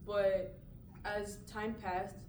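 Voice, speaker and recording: Young female guest, soft-spoken, off-mic in a roomy space with hiss. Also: medium pitch, level tone, drawn-out words. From Ivory Coast. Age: young adult. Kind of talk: casual group chat. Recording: distant, echoey room with hiss.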